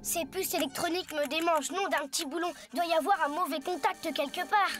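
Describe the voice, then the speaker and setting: Casting: lively cartoon boy of nine, very high voice, exclamatory, over clear background music. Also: fairly quick. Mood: annoyed. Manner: exclamatory, cheeky. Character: lively nine-year-old boy turned into a robot. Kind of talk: French children's cartoon dubbing.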